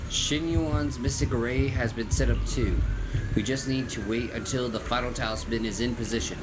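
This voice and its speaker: A man's voice: mid-range voice, normal speed, over loud music, low-quality recording. A man livestreaming a game, reading its story text aloud and adding short commentary. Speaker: game streamer.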